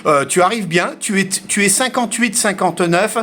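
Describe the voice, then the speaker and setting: Homme à la voix assez aiguë, bruit de fond. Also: male voice, high voice, normal speed, pushed and bright, slight background noise. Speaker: amateur radio operator. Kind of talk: casual radio contact chat. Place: home radio shack in Asia. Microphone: radio station microphone.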